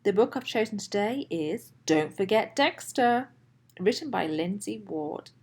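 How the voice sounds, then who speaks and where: Woman introducing a children's read-aloud, lively intonation. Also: mid-range voice, unhurried, breathy edge. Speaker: children's story reader, channel host.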